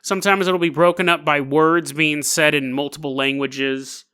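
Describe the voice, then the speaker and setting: A man hosting a solo podcast, telling stories in a casual, jokey, animated way. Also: fairly high pitch, normal speed, clean tone. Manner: animated, jokey, laughs at own asides. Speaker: podcast host. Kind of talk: casual comedic storytelling, solo podcast narration.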